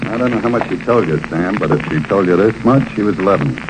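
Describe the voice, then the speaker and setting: An actor playing a menacing gangster with tough, slangy talk in a 1940s radio crime drama. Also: male voice, low voice, animated, fast. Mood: guarded, menacing. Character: menacing male gangster, car smuggler wanted for murder. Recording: muffled, noisy 1940s radio recording.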